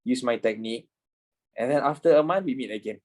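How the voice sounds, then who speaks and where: A man's voice: mid-range voice, brisk, darkish tone. A man in his twenties teaching a trading class in a chatty, repetitive way, swearing now and then. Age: 20s. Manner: chatty, repeats himself, swears casually. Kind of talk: casual class lecture.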